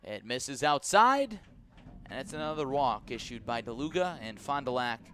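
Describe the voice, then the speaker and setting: A man does live baseball play-by-play for radio from a stadium press box. His voice sits in a middle register for a male speaker. He narrates in a measured, descriptive way, raising his delivery as each pitch comes in. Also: very animated, a bit bright, a little hiss or hum. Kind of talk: live radio sports commentary.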